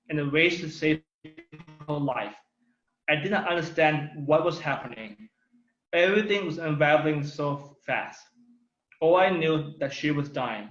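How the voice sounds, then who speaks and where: Earnest young man, echoey dull recording. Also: on the high side. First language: Thai. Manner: earnest, emphatic, slowing down on key words. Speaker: community speaker. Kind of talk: personal testimony speech.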